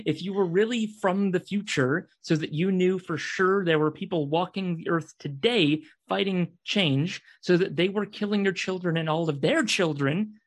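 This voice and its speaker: An intense young man in a radio drama, played by a male actor. He is distraught and angry, a climate radical who presses his case insistently and accuses as he goes. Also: high voice. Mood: impassioned.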